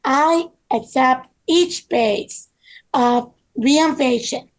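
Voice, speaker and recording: A woman reading the part of an earnest, careful construction manager in a halting, deliberate way at a table read. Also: sits high. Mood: earnest, measured. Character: earnest construction project manager named Bob, calm and careful. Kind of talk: table read of a scripted story.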